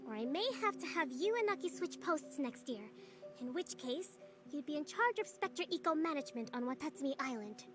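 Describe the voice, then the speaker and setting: A woman voicing a calm, composed young female island leader and strategist in a game cutscene, over game music. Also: high voice, fairly quick. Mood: scolding. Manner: gentle, composed, thoughtful. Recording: game audio with loud music.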